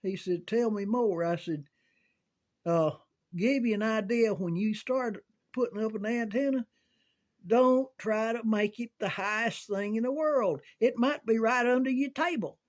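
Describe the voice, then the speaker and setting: An older man telling casual, rambling recollections in a halting, folksy way, as if thinking aloud. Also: sits high. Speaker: amateur storyteller reminiscing about CB radio.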